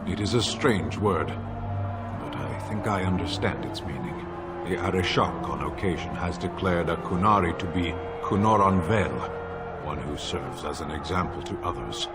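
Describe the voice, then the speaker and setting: A grave giant warrior from a fantasy game, bound to a strict creed. His voice sits low and his wording is formal and measured, with loud music underneath. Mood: solemn, stoic. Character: solemn, stoic giant male warrior of rigid creed. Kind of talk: video game character dialogue.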